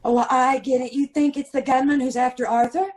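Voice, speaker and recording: A woman's voice: sits high, steady tone, brisk, a little mellow. A woman playing a witty, affectionate, teasing detective's wife in an old-time radio comedy mystery. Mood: curious, wry.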